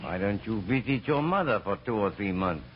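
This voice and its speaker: A man's voice: on the low side, expressive, moderate pace, close to an everyday voice. A man playing a flustered, put-upon middle-aged husband posing as a mystic in an old radio comedy, hesitant, stammering and dryly sarcastic. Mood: deadpan. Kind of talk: 1940s radio comedy acting. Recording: dull, hissy old radio recording.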